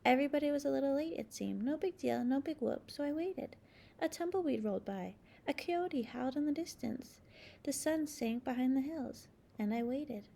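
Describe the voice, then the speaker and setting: A woman reading a children's storybook aloud as narrator, with expressive, dramatic emphasis. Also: sits high, normal speed, gravelly. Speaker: storybook reader.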